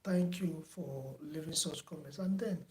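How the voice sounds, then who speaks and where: Soft-spoken man in an echoey room. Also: on the high side, slight background noise. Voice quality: soft-spoken, says he does not shout. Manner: unhurried, many fillers, repeats himself. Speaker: online driving theory tutor. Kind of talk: closing remarks to a live online class. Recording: echoey room with faint background ambience.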